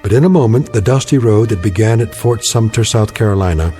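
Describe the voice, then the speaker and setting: A man giving solemn scripted narration for a drama in a measured, portentous manner. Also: on the low side, dark tone, faint music behind.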